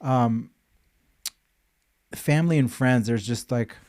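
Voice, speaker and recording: A man in his thirties from Los Angeles hosts a podcast, his voice mellow and warm. He talks candidly and drops curse words without fuss. The delivery is easygoing chat. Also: medium pitch, slow pace. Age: 30s. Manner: candid, swears casually. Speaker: podcast host, financial advisor. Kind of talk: casual interview chat.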